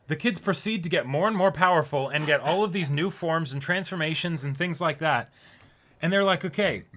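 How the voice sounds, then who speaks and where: A man's voice: fairly high pitch, pushed and bright. A man co-hosting a show in casual chat, talking in an agreeable, conversational way. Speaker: co-host of an anime reaction discussion show.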